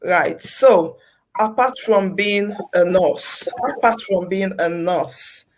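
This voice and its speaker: A woman's voice: mid-range voice, slow. Effusive woman host, lots of fillers. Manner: effusive, repeats thanks and phrases, many fillers. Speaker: interview host. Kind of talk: interview talk.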